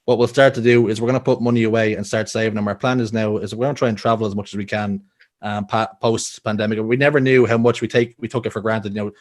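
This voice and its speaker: A man's voice: on the low side, steady tone, fast talker. A young Irish man with a Dublin accent, a guest telling personal stories earnestly with frequent restarts. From Ireland. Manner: earnest storytelling, many restarts. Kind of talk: casual podcast interview.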